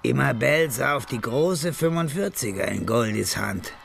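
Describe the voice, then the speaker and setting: A man narrating a German radio crime drama in a gravelly, storytelling voice. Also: medium pitch, expressive, normal speed, fairly bright tone, soft background music.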